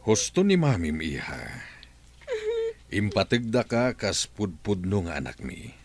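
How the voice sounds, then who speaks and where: A man's voice: fairly low pitch, sing-song, a little slow, heavily acted. A man acting a concerned, tender middle-aged father in an Ilocano radio drama.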